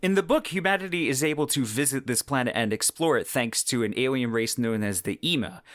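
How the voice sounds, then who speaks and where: Guy doing podcast narration, explains stuff conversationally, medium pitch, really dry close mic. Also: fairly bright tone, dry recording. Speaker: podcast host. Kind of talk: semi-scripted podcast narration about science fiction.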